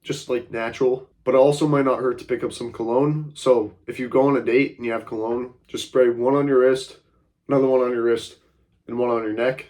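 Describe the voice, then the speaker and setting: A man's voice: medium pitch, steady tone, brisk, darkish tone. A young man who is a little congested, giving casual self-improvement advice in a rambling way, filling his talk with "like" and "uh" and speaking bluntly with the odd swear word. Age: young adult. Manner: rambling, frequent fillers like "like" and "uh".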